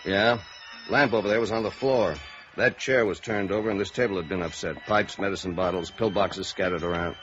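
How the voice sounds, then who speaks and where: Terse, low-voiced radio police sergeant. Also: male voice, brisk. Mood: guarded, dry. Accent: American. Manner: clipped, deadpan. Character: terse, guarded, dry middle-aged male police sergeant. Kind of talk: 1950s radio noir acting. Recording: dull vintage radio recording, faint music.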